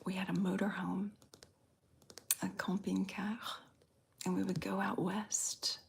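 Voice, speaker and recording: A woman from the Southern US with a slight Southern accent, softly whispering in an unhurried, drawn-out way as she chats with viewers in an ASMR stream. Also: medium pitch, level tone, creaky, slight background noise. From Southern United States. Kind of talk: ASMR whispered live chat.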